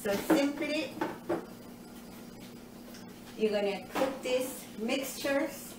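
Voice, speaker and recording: An older woman with as her talks slowly and cheerfully through a recipe in her home kitchen. She is caught by a camera set a little way off, so her voice carries a roomy, distant ring with steady household noise behind it. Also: high-pitched. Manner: cheerful, explains step by step with nutrition asides. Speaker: cooking show host. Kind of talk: home cooking demonstration. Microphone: far camera.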